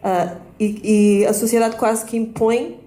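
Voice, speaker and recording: A woman's voice: a little high, flat delivery, measured pace, warm tone. A woman tells her own story on a panel, candid, acting out the exchanges she recalls. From Portugal. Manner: candid, acts out quoted exchanges. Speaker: debate panelist. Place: event space at a bookshop. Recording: roomy hall.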